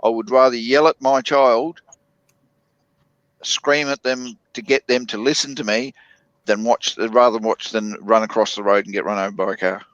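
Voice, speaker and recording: A man talks in a relaxed group conversation, often stopping to restart his sentences. His voice sounds a little dull and close, with no room around it. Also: mid-range voice, no room sound. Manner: halting, with many restarts and fillers. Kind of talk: casual group chat.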